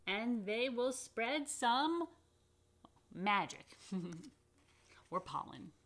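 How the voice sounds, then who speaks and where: A woman reading a picture book aloud to children, expressively and unhurriedly, with dramatic pauses and chatty asides. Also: on the high side, sing-song, fairly bright tone. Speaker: rabbi reading a children's story. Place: indoors.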